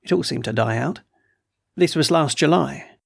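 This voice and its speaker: A man reading aloud and voicing an excitable, blustering military colonel with emphatic outbursts. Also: fairly high pitch, moderate pace, creaky, slightly rough, no echo, studio quality, exaggerated voice. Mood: agitated. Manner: agitated, emphatic, snorting outbursts. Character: blustering excitable middle-aged male colonel.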